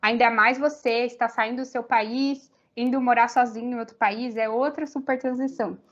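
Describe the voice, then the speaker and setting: Young Brazilian woman with a high, slightly muffled voice. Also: fairly even intonation, moderate pace. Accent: Brazilian Portuguese. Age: young adult. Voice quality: many fillers, rambling restarts. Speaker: university student guest. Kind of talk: casual interview chat.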